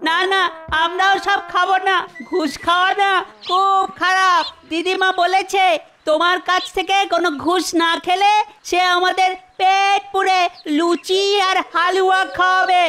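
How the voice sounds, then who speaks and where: A woman's voice: very high voice, flat delivery, a little slow, bright, forceful tone, heavily acted. A woman playing a cheeky, stubborn little cartoon child with a childish scolding manner. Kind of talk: Bengali cartoon voice acting.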